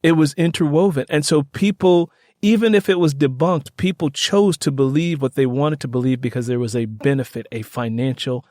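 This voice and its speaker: A man hosting a podcast interview, speaking conversationally with halting, repetitive phrasing. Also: a little high, steady tone, dark tone, no echo, studio quality. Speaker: podcast host.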